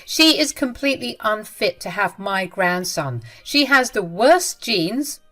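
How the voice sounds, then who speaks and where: A woman voicing a scornful, spiteful middle-aged mother-in-law who talks loud and shrill. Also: animated, measured pace, faint music behind. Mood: furious.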